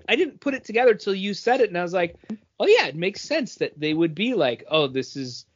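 A man's voice: sits high, sing-song, brisk, husky. A man co-hosting a comic book review in loose, casual conversation. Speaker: co-host of a comics review show. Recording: dull lo-fi audio.

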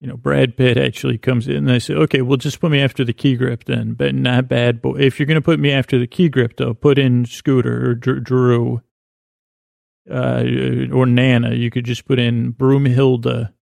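A man hosting a podcast, talking in a slow, meandering, lulling way as he drifts from thought to thought. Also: medium pitch, flat delivery, creaky, a little mellow, dry recording, clean studio sound. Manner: slow meandering soothing delivery. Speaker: podcast host. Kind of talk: rambling sleepy podcast intro monologue.

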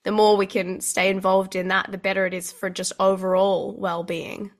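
A woman hosts a podcast interview in an easy conversational tone at middle pitch. She sets up her questions with encouraging remarks and small fillers. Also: flat delivery, moderate pace. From Australia. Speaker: podcast host.

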